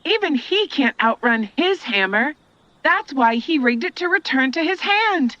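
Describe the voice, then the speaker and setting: A woman's voice: sits high, big pitch swings, measured pace, pushed and bright, dry recording, exaggerated voice. A woman playing a knowing, lightly mocking young woman in a fantasy audio drama. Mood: wry. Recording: dull, dry close recording.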